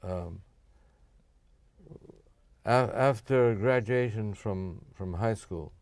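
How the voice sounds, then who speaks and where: An older man born in Japan, answering interview questions in a measured, careful way with frequent fillers and restarts. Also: on the low side, a little mellow. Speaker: guest.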